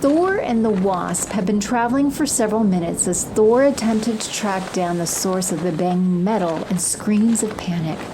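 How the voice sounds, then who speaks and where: A woman's voice: medium pitch, normal speed, few pauses, background noise. A woman delivering scripted dramatic narration as the narrator of an audio story.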